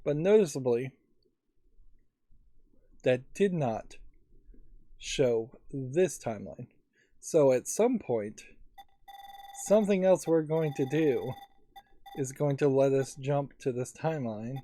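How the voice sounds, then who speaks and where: A man's voice: mid-range voice, lively intonation, slow pace, long pauses, mellow. A man giving casual let's play commentary on a video game in a musing, analytical way. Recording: faint game music behind.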